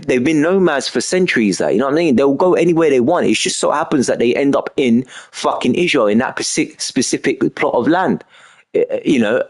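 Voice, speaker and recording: A young man streaming a game and talking in an emphatic, repetitive way, often filling with "you know what I mean". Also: mid-range voice. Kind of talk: casual rambling monologue while gaming.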